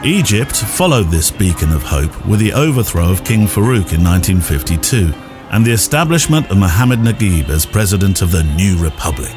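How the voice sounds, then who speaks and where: Low, expressive male storyteller over music. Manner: measured storytelling read from text. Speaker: podcast host. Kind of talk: scripted narration of a photo essay. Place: studio.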